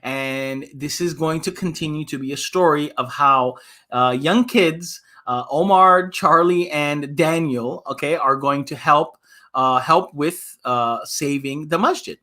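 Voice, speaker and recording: Bright, higher-voiced man hosting children's story time. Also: measured pace. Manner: animated, conversational, asks listeners questions. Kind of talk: storybook reading and casual chat for children.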